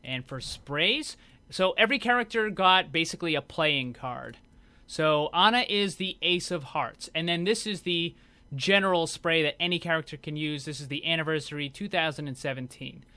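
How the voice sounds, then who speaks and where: Bright, higher-voiced man presenting game cosmetics. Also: moderate pace. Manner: informal, conversational, explanatory. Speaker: gaming video host. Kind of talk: casual video game showcase commentary.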